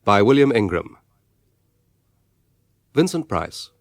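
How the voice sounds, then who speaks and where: A man's voice: lowish voice, sing-song, slow pace, no room sound, studio quality. A man working as a broadcast announcer, reading out credits in a plain, formal way.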